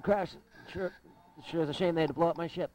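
A man's voice: a little high, fairly even intonation, fast talker, a little mellow, close to an everyday voice. A man voicing a brash, grumbling space pilot in distress in an amateur sci-fi cartoon. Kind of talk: amateur animated sci-fi voice acting.

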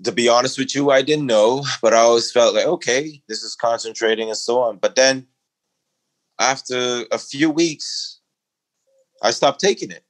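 A middle-aged man from the Bahamas with a Bahamian accent, a guest in a conversational interview, talking emphatically and climbing in pitch when he makes a point. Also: normal speed, rough recording. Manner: emphatic, rising to a preaching lilt when making a point.

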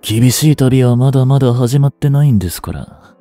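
A young man in his twenties playing a treacherous, smooth-tongued captive prince and spy in a Japanese audio drama, with courteous, theatrical speech that can turn mocking. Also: medium pitch, animated, warm tone, no room sound, natural voice. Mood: mocking, cruel. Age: 20s. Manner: slow, theatrical, courteous speech slipping into taunts. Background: background music.

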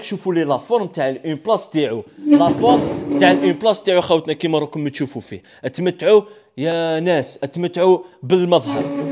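A young Algerian man talks at a rapid clip in a high, bright-edged voice, his Arabic peppered with French terms. He pitches products with eager salesman energy while walking through a big tiled showroom. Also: noisy background. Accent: Algerian Arabic with French words. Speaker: furniture showroom presenter. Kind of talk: sales walkthrough video. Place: large indoor furniture showroom with tiled floor.

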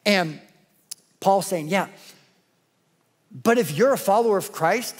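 Emphatic high-voiced male pastor preaching. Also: lively intonation, takes it slowly. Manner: emphatic, building repetition. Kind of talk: sermon. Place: large church stage.

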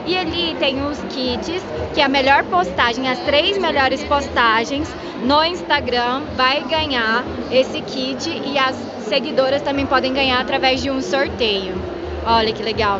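A young woman vlogging from a busy trade fair, gushing and excitable as she talks to her phone held at arm's length. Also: on the high side. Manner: gushing, excitable, quick bursts. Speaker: beauty vlogger. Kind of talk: casual vlog chat. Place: crowded indoor trade fair hall. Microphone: handheld phone at arm's length. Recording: lo-fi phone audio, loud crowd noise.

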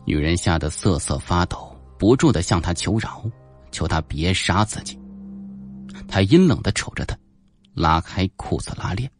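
A man's voice: deep voice, expressive, moderate pace, soft background music. A man narrating a suspense audiobook in a dramatic, scripted storytelling style.

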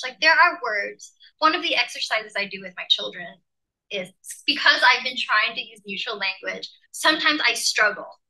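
A woman from Malaysia presents a workshop over a video call, her voice very bright and a little high. She explains with animated emphasis, like a professor walking colleagues through a slide. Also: poor audio. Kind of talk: leading an online teaching workshop.

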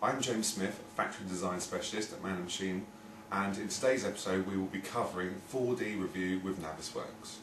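A young British man presenting a software tutorial, explaining informally step by step in a husky voice. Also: low-pitched, fairly quick, hardly any pauses, background noise. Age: young adult.

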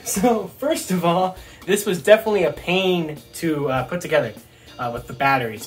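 A young man chatting casually to camera as he reviews a toy, rambling and thinking aloud. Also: on the high side. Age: young adult. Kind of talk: casual unboxing chat to camera. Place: small room at home, indoors.

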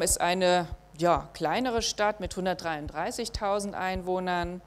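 A middle-aged woman giving a university lecture in a hall, measured and thinking aloud with frequent hesitations. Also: medium pitch. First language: German. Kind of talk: academic lecture with slides.

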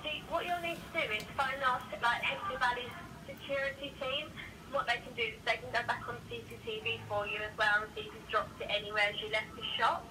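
A woman working as a shop assistant, taking a customer call, heard through a phone loudspeaker. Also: on the high side, steady tone, quick pace. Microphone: phone speaker picked up by camera. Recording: distant phone loudspeaker, faint room noise.

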